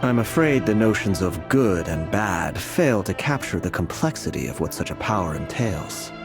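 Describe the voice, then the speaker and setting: Fantasy game seer: calm, wise, guarded, grave formal delivery, lower register, faint musical bed. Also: male voice, normal speed. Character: calm, solemn male prophet, wise and guarded. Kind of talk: dramatic video game voice acting. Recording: background music under the voice.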